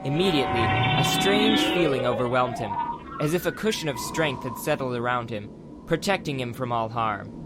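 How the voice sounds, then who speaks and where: Man, mid-pitched voice, scripted story narration, clear music bed behind. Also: expressive, normal speed, poor audio. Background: background music.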